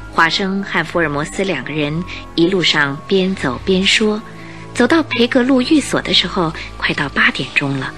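A woman narrating a Mandarin radio drama as an expressive, scripted storyteller. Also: fairly low pitch. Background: music.

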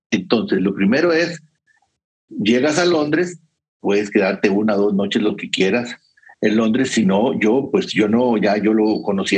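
A man's voice: medium pitch, darkish tone, breathy edge, low-quality recording. A man with a Costa Rican accent chatting and telling travel stories, often adding little tag questions to check the listener follows. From Costa Rica. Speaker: guest sharing whisky travel advice. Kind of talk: conversational travel storytelling.

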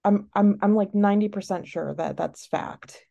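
A woman's voice: mid-range voice, moderate pace, gravelly, mellow, dull audio. A woman co-hosting a podcast in casual, rambling, frank chat, sounding a little sniffly. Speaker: podcast co-host.

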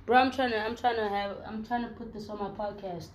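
A woman with a flu-roughened voice, talking casually to her viewers in a rambling vlog, full of slang and repeated fillers. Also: mid-range voice, steady tone, a little fast, slight background noise. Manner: slangy, repeats fillers like "you know what I'm saying". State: hoarse from flu. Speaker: vlogger.

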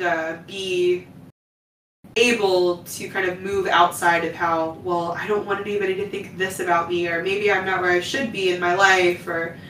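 A woman in her thirties, a podcast guest in casual chat, animated, laughing often and saying "like" a lot. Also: on the low side. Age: 30s. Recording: echoey room from a distant mic with faint noise.

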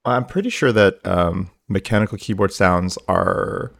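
A man's voice: low voice, expressive, measured pace, vocal fry, fairly bright tone. A man hosting a podcast and chatting casually, talking loosely with lots of "like" and "um" fillers. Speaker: podcast host. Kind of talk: casual chat.

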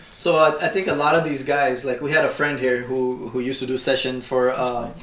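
A man chatting casually about music on a podcast, often pausing with fillers and restarting his sentences. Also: medium pitch, little pitch movement, a bit bright, reverberant, recorded from a distance. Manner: hesitant, full of fillers and restarts. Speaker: musician and co-host.

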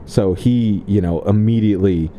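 A man's voice: on the low side, moderate pace, mellow, a little hiss or hum. A man co-hosting a podcast, casually talking through a film and joking by acting out characters in mock voices. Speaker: podcast co-host.

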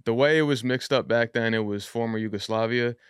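A young man talks through his story in an easy, rambling way, dropping fillers as he goes. His voice is smooth and resonant, mid-pitched, and recorded close and dry with no room sound. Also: fairly quick, no echo. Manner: loose, chatty, frequent fillers. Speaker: rapper, podcast guest. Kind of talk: casual interview talk.

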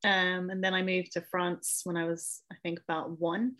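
A woman's voice: on the low side, little pitch movement, normal speed, fairly bright tone. A young woman from England, a podcast guest, talking casually with many ums and self-corrections as she thinks aloud. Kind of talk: casual interview conversation.

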